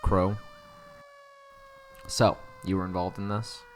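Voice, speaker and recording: A man's voice: low-pitched, little pitch movement. A man playing a cocky, world-weary police detective in a cyberpunk audio roleplay, sarcastic and needling in his delivery. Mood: sardonic, suspicious. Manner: sarcastic, taunting, casual drawn-out jabs. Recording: clear background noise, sirens and street ambience.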